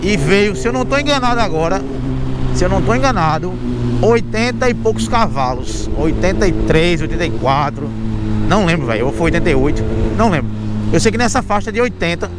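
A young man talks loosely and chattily from his motorcycle, his voice sitting high for a man. Heavy wind and road traffic roar around his helmet mic the whole time. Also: sing-song, fairly quick. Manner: chatty, explaining, peppered with "velho" and "tá?". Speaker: motovlogger. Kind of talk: casual motorcycle vlog talk. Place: riding a motorcycle on city streets outdoors. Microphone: helmet mic on a moving motorcycle. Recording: loud wind and traffic noise.

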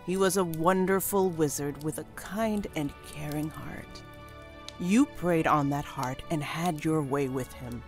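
A woman playing a calm, stern witch inquisitor with composed, formal speech in a visual novel. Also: sits low, unhurried, soft background music.

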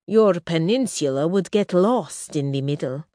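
Blunt scheming prince, low and slow, dry close sound , a woman doing him. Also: expressive, warm tone, no room sound. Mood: calculating. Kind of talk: audiobook character reading.

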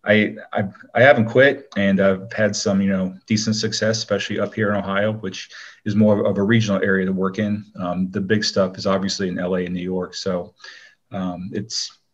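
A middle-aged man with a very low voice talks as a guest in a casual interview. He is modest and conversational. The recording is a little dull and lo-fi. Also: little pitch movement, normal speed.

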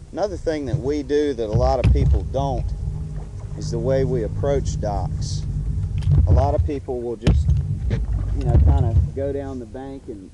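A man's voice: medium pitch, dark tone. A middle-aged man casually explaining fishing tips step by step from a boat on a lake, picked up by a camera mic a few feet away. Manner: easygoing, explains step by step with restarts. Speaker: fishing instructor. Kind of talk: casual how-to talk while fishing. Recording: wind and water noise behind.

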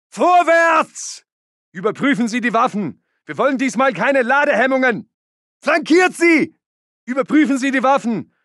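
An actor playing an aggressive, urgent German Wehrmacht soldier barking commanding battle orders in a video game. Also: male voice, very high voice, fairly bright tone, dry recording, clean studio sound, full character voice. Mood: fierce, urgent.

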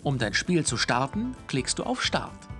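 A man's voice: medium pitch, very animated, unhurried, pushed and bright, faint music behind. A man reading scripted instructions as the guide of a computer game.

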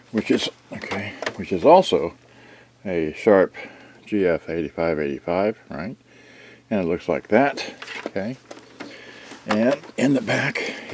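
An older man in his sixties with a lowish voice talks very slowly, in a halting, casual show-and-tell way. He mutters to himself between short phrases. The recording has clear background noise and clicks, picked up by a camera microphone indoors. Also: a little mellow. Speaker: video host, boombox collector. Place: cluttered indoor storage room. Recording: noisy room recording with clicks.

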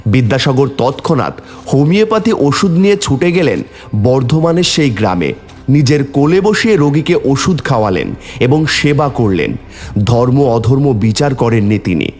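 A man giving expressive scripted narration for a cartoon story. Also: mid-range voice, normal speed, in a large hall. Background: faint music.

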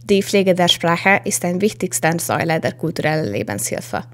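A young woman reporter reading a scripted television news voice-over in an even manner. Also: on the low side, moderate pace. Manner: even, read-out delivery.

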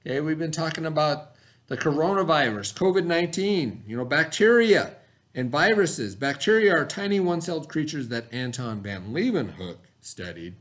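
A middle-aged American man, an elementary teacher, reading a lesson aloud to children in an expressive, instructional manner. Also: fairly high pitch. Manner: expressive, instructional, pauses to ask the listener questions. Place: small indoor classroom, at a desk.

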